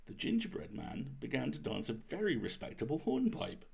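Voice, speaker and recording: A man reading a bedtime story aloud as host, with expressive, deliberate phrasing. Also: mid-range voice, gravelly, breathy edge.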